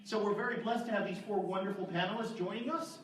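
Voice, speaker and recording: A man's voice: high voice, fast. A priest in his fifties moderating a conference panel, speaking earnestly with frequent restarts. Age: 50s. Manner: earnest, with restarts and repeated words. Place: large echoey conference hall. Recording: echoey hall, distant, audible noise.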